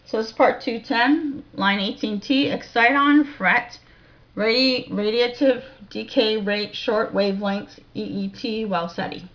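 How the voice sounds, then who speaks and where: A woman reading reference text aloud in a deliberate, informal way, with casual musing asides, on a home recording. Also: a little high, highs cut off. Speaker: amateur video researcher and narrator.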